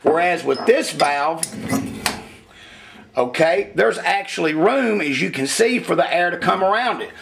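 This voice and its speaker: A man's voice: high-pitched, lively intonation, measured pace, gravelly, background noise. A man talking casually as he demonstrates engine head porting at a workshop bench, in a plainspoken, folksy way. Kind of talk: hands-on shop demonstration.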